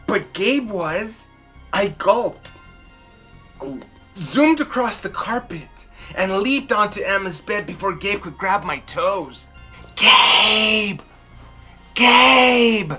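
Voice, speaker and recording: A woman storyteller reading a children's picture book aloud expressively, with dramatic, drawn-out emphasis. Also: mid-range voice, lively intonation, fairly bright tone, soft background music.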